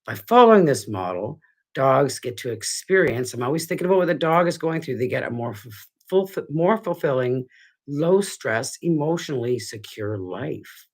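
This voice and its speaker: Middle-aged male dog trainer; husky, congested; rambling, sincere home video host. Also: mid-range voice, expressive, moderate pace. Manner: earnest, rambling with restarts. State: stuffy, congested. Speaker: dog trainer hosting a weekly show. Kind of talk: casual talk to camera. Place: living room at home.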